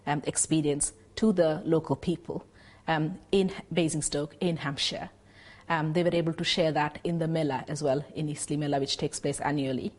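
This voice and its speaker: A woman speaking in a documentary interview, explaining the history and customs of a classical dance with frequent pauses and fillers. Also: low voice, darkish tone.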